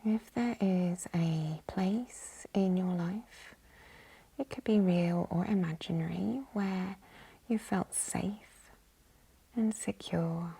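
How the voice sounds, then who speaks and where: A woman leading a guided yoga nidra meditation in a soft, whispery, breathy voice, calm and gentle. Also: lowish voice, slow. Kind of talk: guided meditation, slow hushed instruction.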